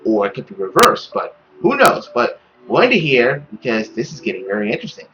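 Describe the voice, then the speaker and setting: Expressive young man with swooping pitch. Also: fairly quick, dull audio, a little hiss or hum. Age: young adult. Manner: expressive, exclamatory. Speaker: gaming video host. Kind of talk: casual let's play commentary.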